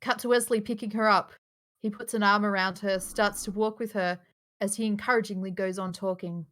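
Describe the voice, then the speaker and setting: A woman reads out screenplay directions in a middle-pitched voice. The delivery is scripted narration for an informal live table read, loose enough to allow casual asides. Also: steady tone, brisk, a bit bright.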